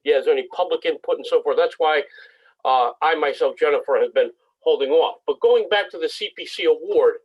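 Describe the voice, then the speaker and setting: Man in the public, rambling, apologetic, slightly high voice on a muffled line. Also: a little fast, breathy edge. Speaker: member of the public. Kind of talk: public comment at a meeting. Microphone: video call. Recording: muffled video-call audio.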